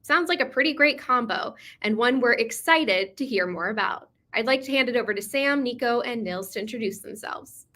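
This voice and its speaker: A woman hosting an online industry webinar, her voice bright and a touch high. She introduces speakers and runs the session in a polished, upbeat way. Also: animated, moderate pace. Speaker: webinar moderator. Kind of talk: scripted moderation.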